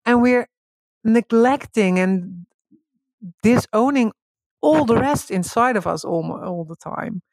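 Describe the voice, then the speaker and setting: A woman whose first language is Dutch talks through spiritual ideas in a relaxed way. She restarts often, laughs easily and jumps high when excited. The sound is dry and close, with no room around it. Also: slow, pauses often, dry recording. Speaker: guest.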